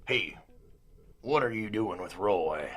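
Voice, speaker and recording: A man's voice: on the low side, expressive, takes it slowly, fairly bright tone. A man playing a cocky, clueless space refugee in a comic radio play, simple, self-important and blurting. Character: cocky, clueless space refugee who talks in third person. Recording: noisy radio-play track with clicks.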